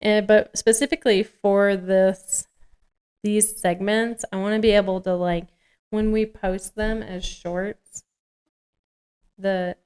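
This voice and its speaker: Clear, smooth-voiced woman chatting casually. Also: mid-range voice, flat delivery, slow pace, darkish tone. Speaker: podcast co-host. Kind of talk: casual chat at a podcast script read-through.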